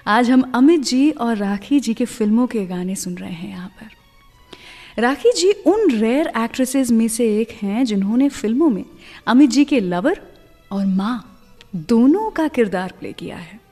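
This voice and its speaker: A woman's voice: fairly high pitch, animated, a little slow, warm tone, big-room sound, faint music behind. A woman hosting a radio show, presenting in a friendly, chatty scripted style with English words mixed in.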